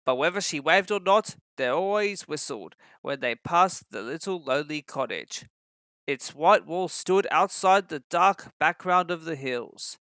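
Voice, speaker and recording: A man's voice: on the high side, animated, a little slow, pushed and bright, smooth, no room sound. A man reading a children's story as a scripted narration.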